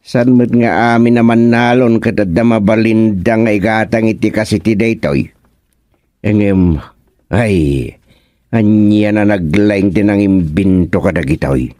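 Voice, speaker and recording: A man acting an excitable villager in an Ilocano radio comedy drama, with an Ilocano accent. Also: on the low side, unhurried, dry recording, studio quality, lightly acted. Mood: chatty.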